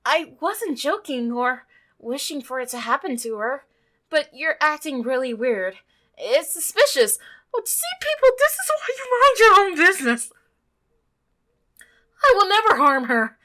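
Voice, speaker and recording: A woman's voice: high voice, sing-song, moderate pace, bright, forceful tone. A woman playing a visual novel, reading it aloud and reacting expressively, exclaiming and laughing at the story. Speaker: let's play gamer.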